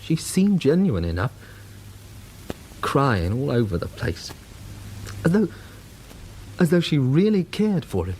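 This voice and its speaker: An actor playing a soft-hearted, anxious English chip shop man in a radio drama, hesitant and easily rattled. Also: medium pitch, big pitch swings, slow, long pauses, a little hiss or hum, poor audio. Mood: troubled. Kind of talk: British radio drama acting.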